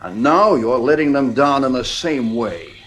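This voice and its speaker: An actor playing a blunt, uneasy psychiatrist and family friend in a 1950s radio drama, measured and authoritative. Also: male voice, medium pitch, lively intonation, a little hiss or hum, lightly acted. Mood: blunt, admonishing.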